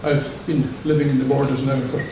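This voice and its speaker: A man reading his poetry to an audience, in a measured, declamatory way with brief spoken asides. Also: medium pitch, level tone, noisy background. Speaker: poet. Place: large church hall. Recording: echoey church hall from far off.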